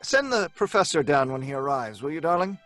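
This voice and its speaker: A man's voice: fairly high pitch, sing-song, brisk, fairly bright tone. An actor playing an earnest, obsessed young scientist telling his grim tale in an old-time radio drama style. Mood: earnest, affectionate. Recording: music under the voice.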